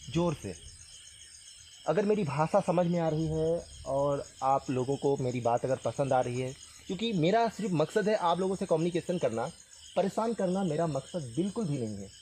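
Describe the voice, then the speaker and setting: A man from northern India hosting a ghost-hunting vlog, speaking earnestly and haltingly, repeating himself as he addresses spirits and viewers. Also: a little high, warm tone. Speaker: paranormal vlog host. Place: outdoors at night by a tree. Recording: noisy outdoor night recording with insect sounds.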